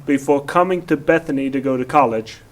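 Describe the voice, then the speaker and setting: A man's voice: medium pitch, fairly quick. A man born in Oklahoma, a council candidate giving plain, matter-of-fact answers.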